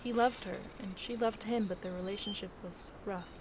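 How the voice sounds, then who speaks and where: A woman's voice: medium pitch, fairly even intonation, moderate pace, background noise, natural voice. A woman playing a concerned grown daughter with an earnest, conversational manner in an audio drama. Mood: reflective. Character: concerned grown daughter recalling her late father. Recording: clear background ambience.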